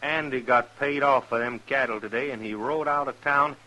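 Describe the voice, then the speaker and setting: An actor playing a sly, rough-spoken cowboy villain with a folksy drawl in an old radio western. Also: male voice, medium pitch, fairly even intonation, normal speed, pushed and bright, natural voice, rough recording. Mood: defensive.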